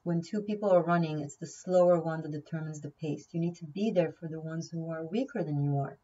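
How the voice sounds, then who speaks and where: A woman's voice: low-pitched, fairly quick, mellow, breathy edge, distant mic, highs cut off. A woman whose first language is Hebrew, giving a casual tarot reading, chatty and thinking aloud, often repeating key words. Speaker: tarot reader.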